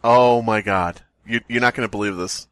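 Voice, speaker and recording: A man's voice: fairly low pitch, normal speed, a bit bright, clear, resonant tone, no echo. A man hosting a comedy podcast, reading aloud and riffing with sarcastic, profane, joking commentary. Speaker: podcast host.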